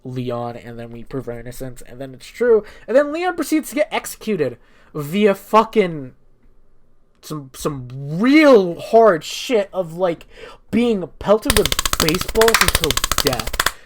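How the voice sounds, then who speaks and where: Rambling, sweary casual game recapper, medium pitch. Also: very animated, takes it slowly. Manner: rambling, profane, irreverent. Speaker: video game streamer recapping a story.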